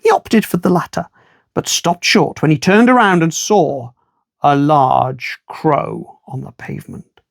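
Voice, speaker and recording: A man reading a children's story aloud in an expressive storytime style, with wry asides to the listener. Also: a little high, creaky, dry recording, clean studio sound.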